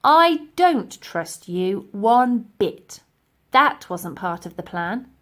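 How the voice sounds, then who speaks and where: A woman's voice: sing-song, takes it slowly, a bit bright. A woman voicing a brave, indignant young girl who exclaims and protests, in a children's audiobook. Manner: exclaiming, high-pitched protest. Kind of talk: children's audiobook character acting.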